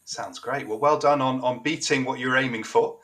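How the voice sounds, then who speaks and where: A man's voice: mid-range voice, fairly even intonation, brisk, bright, forceful tone. A man interviewing an athlete after a race, upbeat and encouraging.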